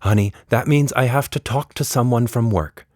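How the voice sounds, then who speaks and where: A man voicing a busy, impatient mother in a children's story, brisk and a little apologetic. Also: mid-range voice, a little mellow, no room sound, studio quality, voice pushed up, natural voice.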